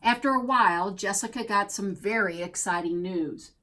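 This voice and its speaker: A woman reading a children's picture book aloud as a storyteller, expressively and deliberately, drawing out key words. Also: medium pitch, bright, forceful tone, slight background noise. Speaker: storybook reader.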